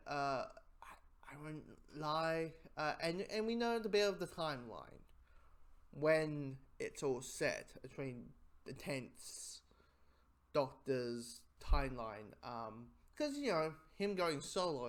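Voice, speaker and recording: A man giving a casual spoken review in a rambling, halting way, often repeating his phrases. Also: fairly high pitch.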